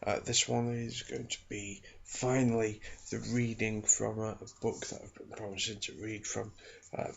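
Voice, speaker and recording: Man, soft ASMR delivery, low-pitched, heavy vocal fry, slightly muffled recording. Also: flat delivery, moderate pace, slight background noise. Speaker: ASMR video creator and book reader. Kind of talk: soft-spoken ASMR, informal chat and book reading.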